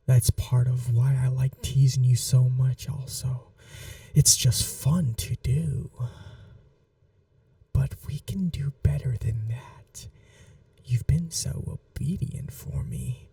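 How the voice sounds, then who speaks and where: A man acting as seductive vampire master, possessive and teasing, ASMR roleplay, very dark velvety tone, creaky half-whisper, languid cooing. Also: medium pitch, fairly even intonation, takes it slowly, dry recording, far from the mic. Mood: teasing, doting. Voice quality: soft breathy half-whisper. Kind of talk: ASMR roleplay, intimate slow monologue.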